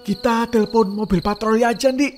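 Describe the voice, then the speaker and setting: A man's voice: very high voice, fairly even intonation, a little fast, a little hiss or hum. A man voicing an easygoing young man in a cartoon. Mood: worried.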